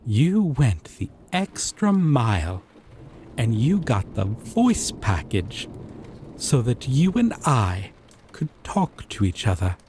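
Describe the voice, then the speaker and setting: A man playing a gentle but obsessive, possessive dating-sim character in an intimate roleplay monologue, with soft breathy delivery and lingering pauses. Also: mid-range voice, very animated, a little hiss or hum. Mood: wistful, knowing.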